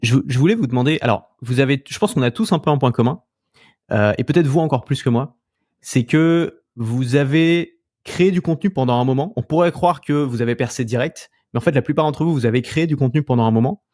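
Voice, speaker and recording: A man's voice: mid-range voice, a little fast, a little mellow, no echo, clean studio sound. A man hosting a podcast, asking probing questions in a hesitant, filler-laden way. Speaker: podcast host. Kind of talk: casual interview.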